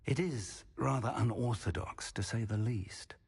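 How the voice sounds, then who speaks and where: An actor playing a kindly, curious older wizard professor in a video game, speaking formally and expressively with a husky, gravelly voice. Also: male voice, medium pitch, unhurried, a little mellow, exaggerated voice. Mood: measured. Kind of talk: video game dialogue acting.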